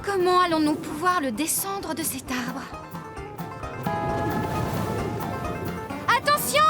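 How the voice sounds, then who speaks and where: A very high-pitched, caring young farmer woman in a kids' cartoon. She is brisk and animated, used to taking charge. Music plays behind her. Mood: alarmed. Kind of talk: French children's cartoon dubbing. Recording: music under the voice.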